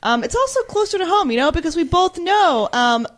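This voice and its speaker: A woman's voice: extremely high pitch, very animated, brisk. A woman co-hosting a casual news podcast, reading out stories and quotes and laughing often. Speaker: podcast co-host.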